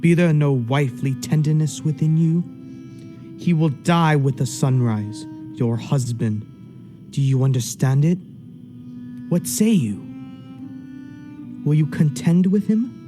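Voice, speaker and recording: A man's voice: a little high, slow pace, pauses often, over music. A man playing a stern, imperious judge in a radio play, speaking in formal, archaic period language with command. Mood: stern, impatient. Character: stern, unbending male judge, imperious and impatient. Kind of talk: period stage drama acting in a radio play.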